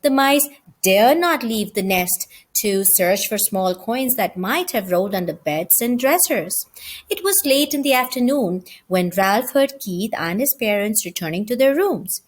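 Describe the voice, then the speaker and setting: A woman reading a children's story aloud as an expressive narrator. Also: mid-range voice, measured pace.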